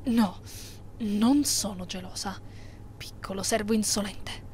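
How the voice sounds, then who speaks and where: Casting: actress as immortal female vampire mistress for ASMR roleplay; mid-pitched, condescending, possessive, slow near-whispered commands. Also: darkish tone, a little hiss or hum, close to an everyday voice. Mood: jealous, stern. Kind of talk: ASMR roleplay, breathy close delivery.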